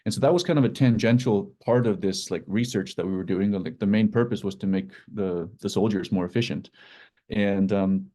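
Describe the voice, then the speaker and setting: Male guest founder. Deep, mellow voice, informal explainer who gropes for words and repeats himself. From Iceland. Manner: hesitant, halting delivery with repeated words. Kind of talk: informal explanatory talk about own work.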